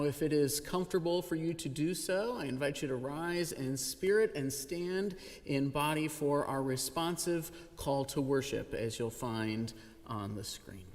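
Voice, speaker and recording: A man speaking as a pastor, leading a church service with measured, solemn phrasing and formal liturgical wording. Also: medium pitch. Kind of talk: formal liturgical welcome and instructions. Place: large church sanctuary, indoors. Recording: reverberant hall with long echo.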